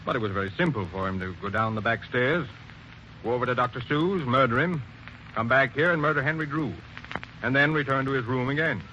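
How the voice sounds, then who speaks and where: A man playing a brisk, authoritative police sergeant in a 1940s radio drama, giving clipped orders. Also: mid-range voice, pushed and bright, natural voice. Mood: firm. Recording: muffled 1940s radio broadcast, faint hiss.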